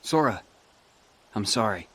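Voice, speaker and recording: A man's voice: fairly low pitch, animated, a little slow, fairly bright tone, slight background noise. An actor playing a reserved, brooding teenage boy hero in a video game, protective and self-blaming, in a cool, understated, serious manner. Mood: regretful.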